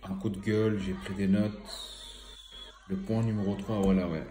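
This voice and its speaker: A man's voice: fairly low pitch, flat delivery, moderate pace, dark tone, roomy sound, faint music behind. A man with a cold and a slightly stuffy voice, giving a casual, rambling commentary full of hesitations and fillers. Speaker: environmental vlogger.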